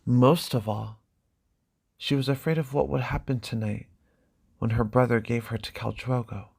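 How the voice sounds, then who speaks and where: A man's voice: medium pitch, steady tone, normal speed, gravelly, a little mellow, breathy edge. A man narrating a fantasy graphic novel as a scripted dramatic reading. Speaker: amateur narrator, video creator.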